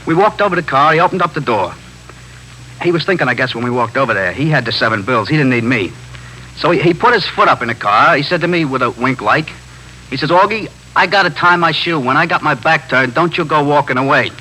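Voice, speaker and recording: A small-time street pusher in a 1950s radio drama. His bright, forceful voice races through slangy, self-justifying stories. The old recording carries a faint hum and hiss. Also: a little high, animated, fast talker, poor audio. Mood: animated storytelling.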